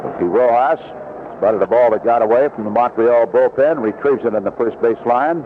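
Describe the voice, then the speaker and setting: A man's voice: medium pitch, fairly quick, narrow, tinny audio. A man calling baseball play-by-play on an old radio broadcast from a ballpark, mixing live commentary with promotional reads. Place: outdoor ballpark broadcast booth. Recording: band-limited vintage AM radio broadcast, noisy.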